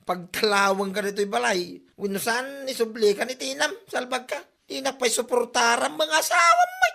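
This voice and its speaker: A woman's voice: fairly high pitch, big pitch swings, moderate pace, vocal fry, bright, forceful tone, exaggerated voice. A woman with an Ilocano accent playing a boastful, daydreaming husband in a comedy drama.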